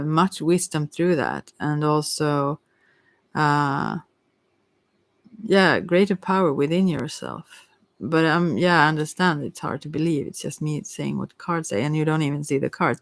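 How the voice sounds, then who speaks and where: Someone doing an asmr tarot reading, mid pitched voice, rambly and hesitant, really close dry sound. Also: dry recording. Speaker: tarot card reader.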